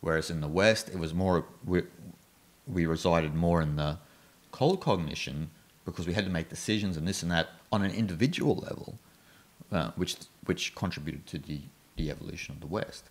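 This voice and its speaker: A man in his thirties explaining ideas on a podcast, animated and full of fillers, at a desk mic in a small studio. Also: low voice, moderate pace. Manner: animated, gestures with hands, many fillers. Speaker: podcast host. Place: small studio with painted backdrop. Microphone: desk mic on stand.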